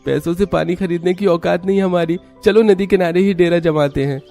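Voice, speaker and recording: Man putting on excitable, high-pitched poor villager. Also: a little fast, gravelly, soft background music. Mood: earnest. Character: excitable, earnest poor village brothers, hopeful and simple. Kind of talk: Hindi cartoon story acting.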